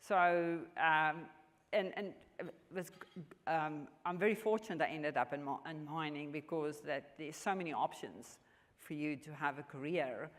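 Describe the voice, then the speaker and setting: A woman in her fifties from South Africa, with a South African accent and Afrikaans as her first language, answering interview questions in an earnest, measured way with frequent restarts. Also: sits low. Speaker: mining executive guest. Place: large function hall. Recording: long hall reverb.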